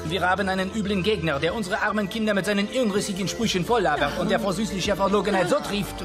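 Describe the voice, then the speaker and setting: Fervent French-accented cartoon resistance leader, played by a man, quick, high, declaiming, for a kids' radio play. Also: lightly acted. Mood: heroic, grandiose. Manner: grandiose, declaiming. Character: pompous, fervent French resistance fighter, annoyed parent. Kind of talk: German children's radio play acting. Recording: music bed behind the voice.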